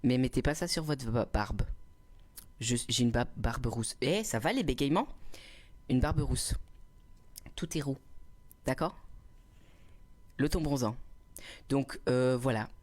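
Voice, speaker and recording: A man's voice: medium pitch, slow pace, long pauses. A young man doing a casual livestream, reading chat comments and telling teasing, self-mocking stories into a cheap little microphone. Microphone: cheap small clip microphone.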